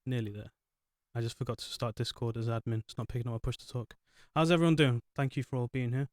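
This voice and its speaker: A man's voice: medium pitch, steady tone, quick pace, no echo, studio quality. A man hosting a casual live podcast, thanking viewers and rambling a little with plenty of fillers. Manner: chatty, apologetic, rambling with fillers. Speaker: podcast host.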